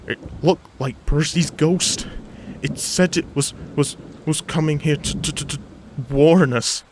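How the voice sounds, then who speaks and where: A man's voice: high-pitched, extremely slow, a little hiss or hum, pitched above the performer's own voice. A man voicing a theatrical tram engine, a prankster with a dramatic, mock-frightened manner, in a children's story. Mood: shaky, frightened.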